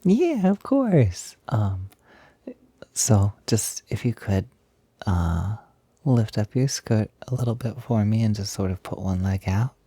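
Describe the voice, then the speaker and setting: A man playing an excited, doting, gentle young roommate in an intimate roleplay audio, soft-spoken and breathy, hesitant but gushing and reassuring. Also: on the low side, slow, no room sound, exaggerated voice. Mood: gentle, attentive.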